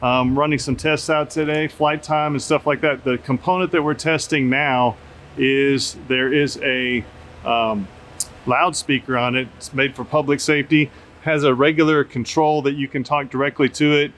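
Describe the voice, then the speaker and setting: A man's voice: mid-range voice, moderate pace. Man casually narrating over outdoor noise. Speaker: drone tester and video host. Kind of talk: casual field-test narration. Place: outdoors under an open shelter at an airfield. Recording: noisy outdoor camera audio, steady ambient hum.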